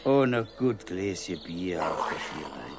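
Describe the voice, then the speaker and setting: A man, playing a relaxed retired deputy school head in a radio play. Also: low voice, a little slow, gravelly, heavy background noise, full character voice. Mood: homesick. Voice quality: broad dialect, homely, relaxed. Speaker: radio drama character.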